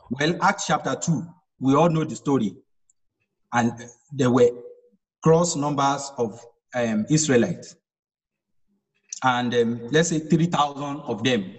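A man's voice: mid-range voice, breathy edge, big-room sound, poor audio. A man whose first language is Yoruba teaches an online Bible study, explaining verse by verse and asking rhetorical questions.

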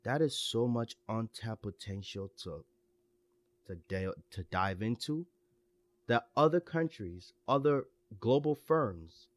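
A man's voice: mid-range voice, takes it slowly, no room sound. A young man giving his opinions in casual chat, earnest and stumbling over restarts as he gets worked up.

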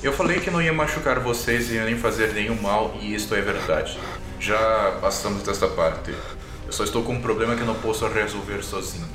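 A man voicing a calm, formal, condescending male vampire in a tabletop game. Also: fairly low pitch, fairly quick, natural voice. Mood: calm, grave. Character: calm, irritated, imperious male vampire with European accent. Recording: roomy echo, music underneath.

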